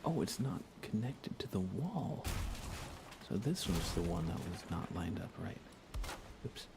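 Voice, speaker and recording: A young man softly murmuring on an ASMR gaming livestream in an unhurried, casual way, often close to a whisper, near the mic. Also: low-pitched, very animated, background noise. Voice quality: soft, hushed, often slipping into breathy whisper. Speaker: livestream host.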